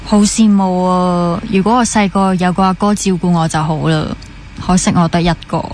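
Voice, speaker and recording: A woman's voice: on the low side, fairly even intonation, moderate pace, a little mellow, slight background noise, close to an everyday voice. A young woman with a Hong Kong Cantonese accent playing a gossipy, pampered only child obsessed with dating in a naturalistic radio drama. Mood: wistful pampered. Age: young adult. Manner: chatty, gossipy, mixes in English words.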